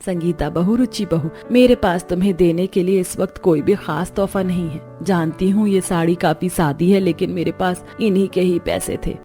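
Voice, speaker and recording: A woman's voice: on the low side, fairly even intonation, normal speed, few pauses, mellow, faint music behind, lightly acted. A woman voicing a worried, humble middle-aged mother-in-law in a family cartoon.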